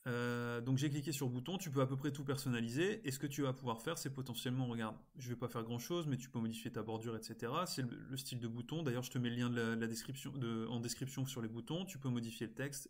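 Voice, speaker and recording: A man with a mid-pitched voice walks through a software tutorial. His delivery is informal and instructional, moving step by step and dropping in small interjections as he goes, like someone showing a friend what to do on screen. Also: steady tone, fast talker. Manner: casual, step-by-step explaining with frequent interjections. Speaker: tutorial presenter. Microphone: screen-recording voice-over.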